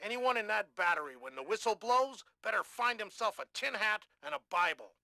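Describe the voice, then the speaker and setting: An actor playing a grim, hawkish American military commander giving an authoritative formal briefing in a video game. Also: male voice, sits high, lively intonation, brisk, creaky, bright, forceful tone, no echo, exaggerated voice. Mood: stern, hawkish.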